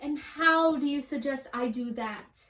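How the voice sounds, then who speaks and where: A woman's voice: high voice, measured pace, a bit bright. A woman playing a practical, questioning studio worker in a radio play. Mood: concerned.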